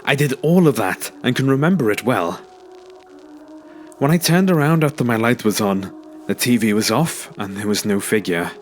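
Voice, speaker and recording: A man's voice: medium pitch, normal speed. A man reading a first-person scary story aloud as a scripted narration. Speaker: narrator reading submitted ghost stories. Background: music.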